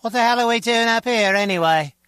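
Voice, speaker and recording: A man's voice: very high voice, a little fast, fairly bright tone, smooth, dry recording. A man playing a grumbling, wisecracking henchman guard in a spy video game, talking in a sardonic, conversational way. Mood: irritated, sardonic. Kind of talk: video game dialogue acting.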